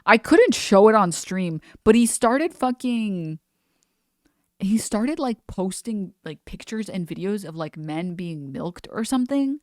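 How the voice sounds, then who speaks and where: A woman's voice: mid-range voice, fairly bright tone, no room sound, clean studio sound. A young woman streamer giving chatty, sarcastic commentary while reacting to a video.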